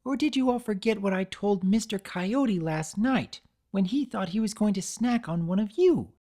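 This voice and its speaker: A woman voicing a gentle cartoon pegasus, protective and assertive, with an earnest, soft but firm manner. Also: mid-range voice, lively intonation, moderate pace, pitched above the performer's own voice, close to an everyday voice. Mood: scolding.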